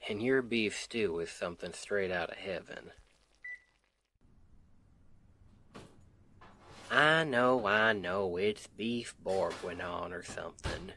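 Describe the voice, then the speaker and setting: A man performs a doting husband from an ASMR roleplay with a Southern US accent. His voice sits fairly low and moves at a very slow, easy pace. He talks in a folksy, tender way, playful and affectionate toward his partner. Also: full character voice. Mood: playful, teasing. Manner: affectionate, unhurried, folksy, gently teasing. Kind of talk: ASMR roleplay, intimate domestic scene.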